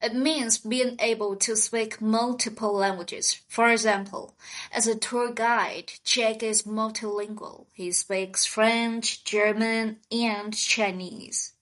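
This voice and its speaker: A woman co-hosting a scripted vocabulary lesson, speaking in a slow, clear teaching manner. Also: a little high, steady tone, a bit bright. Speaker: podcast co-host.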